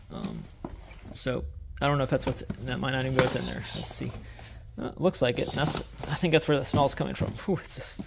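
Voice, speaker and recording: A man's voice: mid-range voice, vocal fry, background noise. A man, vlogging in a storage unit and thinking aloud with lots of fillers and restarts as he sorts through items. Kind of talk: casual vlog narration while sorting items. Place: indoor self-storage unit.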